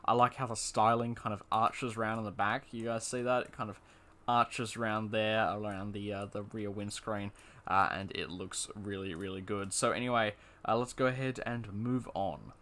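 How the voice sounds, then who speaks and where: A man's voice: lowish voice, steady tone, normal speed, fairly bright tone. A young man giving casual, enthusiastic commentary while reviewing a car in a video game, with lots of fillers and repeated words.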